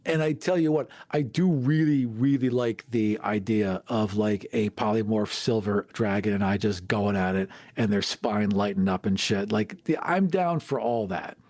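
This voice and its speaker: A man co-hosting a podcast, chatting casually in a candid, emphatic, animated way. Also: lowish voice, normal speed, few pauses, no room sound. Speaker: podcast host. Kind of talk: casual chat.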